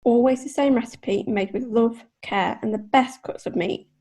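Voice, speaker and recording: An actress playing an aggrieved, proud butcher's wife, blunt and scornful, in a period courtroom play. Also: female voice, fairly high pitch, moderate pace, dark tone. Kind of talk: theatrical courtroom play acting.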